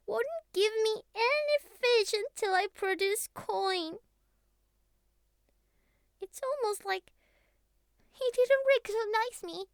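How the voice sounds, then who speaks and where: Very high-voiced, naive young catgirl. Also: no echo, clean studio sound, exaggerated voice. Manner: childlike, hesitant, pleading, slightly formal phrasing. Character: young catgirl, former house cat, naive, clingy, earnest. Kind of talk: intimate ASMR roleplay monologue.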